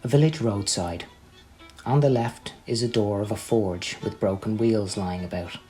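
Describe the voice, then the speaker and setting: A man's voice: lowish voice, normal speed, mellow, faint music behind. A man reading scripted credits and stage directions as the announcer of a radio play. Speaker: announcer and narrator.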